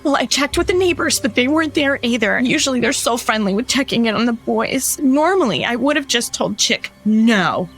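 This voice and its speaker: A woman playing a sweet, distraught working mother in an audio drama, speaking in an earnest, halting, fretful way. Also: fairly high pitch, expressive, soft background music. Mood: upset, explaining. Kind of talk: immersive audio drama acting.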